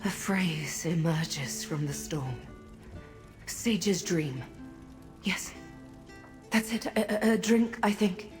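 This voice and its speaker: An actress playing a disoriented, fragile goddess in a video game, speaking haltingly and cryptically in a pleading way. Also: female voice, on the low side. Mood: haunted, pleading. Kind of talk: scripted video game character acting. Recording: long reverb, music behind.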